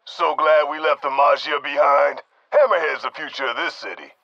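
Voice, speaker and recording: Mocking male henchman, gravelly, dry dull radio filter, video game. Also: on the high side, normal speed, no room sound. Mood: smug, mocking.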